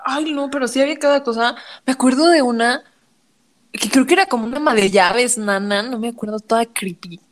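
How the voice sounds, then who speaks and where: Mexican woman, high-pitched, lively podcast co-host, casual chat. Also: brisk. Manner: lively, laughs, slips into impressions. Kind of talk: casual chat about childhood cartoons.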